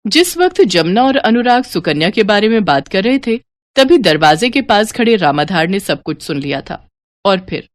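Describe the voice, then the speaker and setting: Woman narrating a story, medium pitch. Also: very animated, moderate pace, a little hiss or hum.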